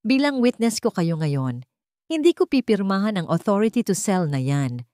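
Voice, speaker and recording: A woman's voice: mid-range voice, sing-song, normal speed, dark tone, no echo, lightly acted. Lady narrator doing a fed-up betrayed wife, bitter n defiant, audiobook drama, dry sound. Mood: cold, resolute. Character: wronged wife, bitter, defiant, finally done.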